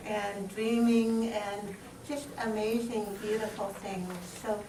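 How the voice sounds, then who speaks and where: A woman hosting a live event, giving an informal ceremonial introduction speech. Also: on the high side. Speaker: event host introducing a poet. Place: live event room, recorded from a distance. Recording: echoey, distant microphone, clear background noise.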